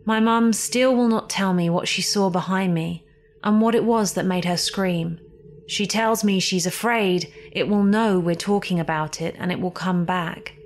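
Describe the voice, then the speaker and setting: A woman reading a scripted ghost story as a horror podcast narrator, theatrical and suspenseful, with deliberate emphasis. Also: mid-range voice. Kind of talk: scripted dramatic spooky narration. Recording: music behind the voice.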